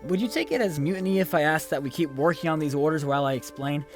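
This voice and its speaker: An actor playing a reasonable young student coworker who explains things earnestly and patiently in a comedic audio drama. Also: male voice, fairly high pitch, fast talker, soft background music, lightly acted.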